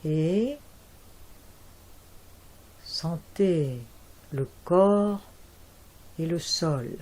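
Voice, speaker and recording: Very slow, mellow woman guiding relaxation. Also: on the low side. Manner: calm, measured instructions with deliberate pauses. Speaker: yoga nidra instructor. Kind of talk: guided relaxation meditation.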